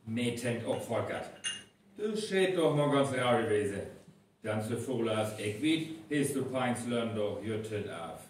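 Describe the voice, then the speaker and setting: A man's voice: medium pitch, animated, a little slow, far from the mic, close to an everyday voice. A man with a North Frisian accent playing a plain-spoken village man in a live radio play. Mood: earnest. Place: reverberant venue, distant microphone. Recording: very echoey live recording, faint noise.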